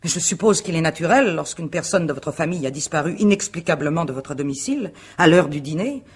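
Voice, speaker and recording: Guarded female suspect, husky, fairly low-key formality, defensive, precise wording, radio mystery drama. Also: expressive, fast. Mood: uneasy, defensive. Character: defensive, guarded married woman questioned as a suspect. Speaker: actor. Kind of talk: French radio mystery play acting.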